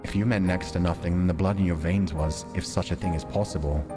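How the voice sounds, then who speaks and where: Male game streamer, very low voice, storytelling read-aloud, music underneath. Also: fairly even intonation. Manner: measured, deliberate storytelling delivery when reading. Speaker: livestreamer reading a visual novel aloud. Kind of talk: dramatic read-aloud narration with casual stream chat. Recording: long reverb, music behind.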